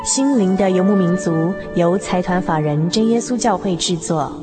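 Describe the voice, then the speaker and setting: A woman's voice: lowish voice, normal speed. A woman reading a poetic, scripted introduction to a radio program. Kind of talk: slow poetic scripted narration. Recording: loud music under narration. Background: prominent instrumental music.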